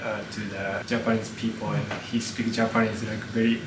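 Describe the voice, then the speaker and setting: A man hosts a casual interview in halting English, stopping often for "uh." His voice is on the low side. He sounds distant from the mic in an echoey room, with clear background noise. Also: little pitch movement. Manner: halting, many "uh" pauses. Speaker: host.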